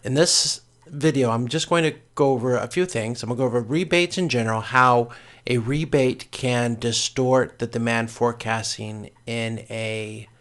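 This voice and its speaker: A man giving a recorded lecture as a course instructor, explaining step by step in a halting way and often correcting himself. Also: mid-range voice, fairly bright tone.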